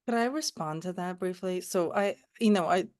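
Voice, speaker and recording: A woman's voice: on the low side, normal speed, darkish tone. A woman born in Romania, raised in Canada, speaking in a casual panel discussion and telling personal stories with many restarts. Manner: thoughtful, storytelling, frequent "you know" and restarts.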